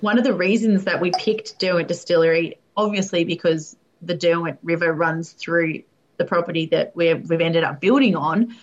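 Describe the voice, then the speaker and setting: A woman's voice: fairly low pitch, fairly even intonation, moderate pace. Chatty woman telling a story, dull lo-fi sound. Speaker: guest, distillery co-founder. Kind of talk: casual chat.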